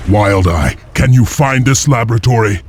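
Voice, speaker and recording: An actor playing a stern, determined heroic clan chieftain in a video game, terse and commanding, with a husky voice. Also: male voice, mid-range voice, normal speed, a little hiss or hum, natural voice.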